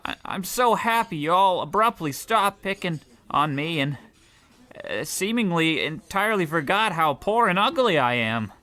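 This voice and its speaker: A meek, poor clerk acted by a man in a comic radio play. His voice is high and keen-edged. He hesitates, apologises and stammers when flustered. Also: very animated, moderate pace, bright, forceful tone. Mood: grateful. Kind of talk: comic old-time radio play acting.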